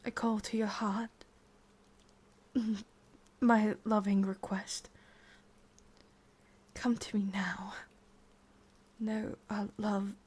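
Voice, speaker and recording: Woman acting a breathy, slow-talking English witch. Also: medium pitch, level tone, a little mellow. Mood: incantatory, slow. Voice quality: breathy, mostly whispered. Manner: slow, halting, teasing, swears under her breath. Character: sadistic yandere witch, teasing, possessive, secretly tender lover. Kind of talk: ASMR roleplay, intimate whispering.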